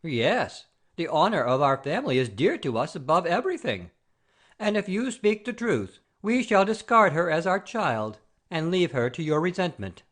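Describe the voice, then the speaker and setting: A man's voice: a little high, big pitch swings, normal speed, a bit bright. An actor playing a pompous, easily offended noble father-in-law in a classic comedy audio play, haughty and blustering. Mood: haughty, scolding.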